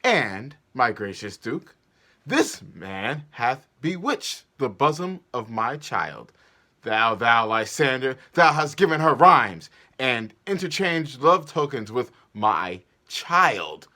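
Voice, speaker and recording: A man's voice: medium pitch, sing-song, takes it slowly, pushed and bright, exaggerated voice. A man playing a stern, vexed older father in a declamatory Shakespearean stage reading. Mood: indignant. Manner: declamatory, indignant, emphatic. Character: stern, vexed old father demanding his ancient rights.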